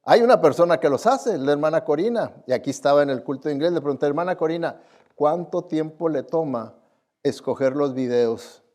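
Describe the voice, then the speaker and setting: A man's voice: on the high side, lively intonation, brisk, a little mellow. A man preaching as a pastor to his congregation in a conversational way, admonishing them and often putting questions to them. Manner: admonishing, often asks listeners questions and tags for agreement. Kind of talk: conversational sermon with rhetorical questions. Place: speaking live before a church congregation.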